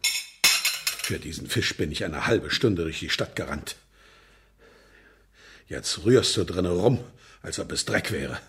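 A man's voice: low-pitched, animated, slow, pauses often, a bit bright, slight background noise, heavily acted. A man playing a terse, grumbling older loner in a German children's radio play. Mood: grumpy. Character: grumbling, laconic older man living alone, Herr Burczik.

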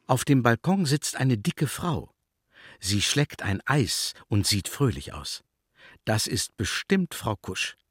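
A man's voice: medium pitch, breathy edge, no echo, studio quality. A man narrating a children's radio play as a scripted storyteller.

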